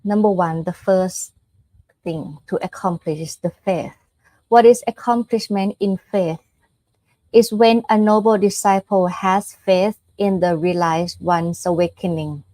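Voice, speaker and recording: A woman's voice: fairly low pitch, steady tone, measured pace, warm tone, no room sound, poor audio. A woman from Thailand with a Thai accent giving an informal dharma talk as a Buddhist nun, explaining step by step in a conversational way with check-in questions.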